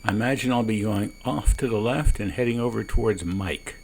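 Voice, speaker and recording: Male pilot narrator, deliberate and explanatory, low fry-edged delivery, small-plane cockpit with light noise. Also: a little mellow. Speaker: private pilot narrating own flight. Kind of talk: calm explanatory cockpit commentary. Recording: noisy small-plane cockpit, radio transmissions.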